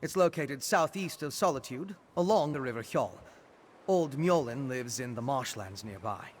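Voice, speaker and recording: A man acting as a learned gentleman vampire in a fantasy game, with a medium-pitched voice. He is reserved and polite, phrasing things precisely and analytically, with some ambient noise behind him. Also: lively intonation, close to an everyday voice. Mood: reserved, explanatory. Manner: measured, articulate, analytical. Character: courteous, scholarly male vampire investigator, thoughtful and reserved. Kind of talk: video game character dialogue.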